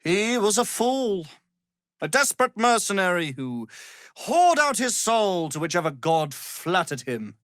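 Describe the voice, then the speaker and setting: An actor playing an arrogant, power-hungry wizard in a video game, speaking in a pompous, theatrical and condescending manner. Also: male voice, high voice, big pitch swings, unhurried, a bit bright, no echo, clean studio sound, exaggerated voice.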